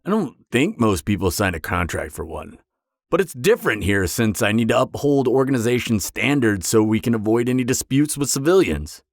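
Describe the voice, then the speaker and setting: A man plays an awkward, earnest supervillain in a conversational audio roleplay. His voice is low and recorded close and dry. He stammers a little but stays matter-of-fact and by the book. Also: dry recording. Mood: hesitant, insistent.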